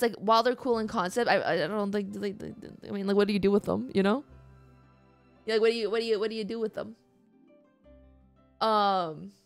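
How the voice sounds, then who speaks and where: A woman's voice: mid-range voice, no echo. A woman livestreamer speaks in a mid-range voice. She chats casually with her audience, informal and playful, with the odd mild curse. Music plays in the background. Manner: informal, playful, mildly profane. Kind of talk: casual chat with live audience.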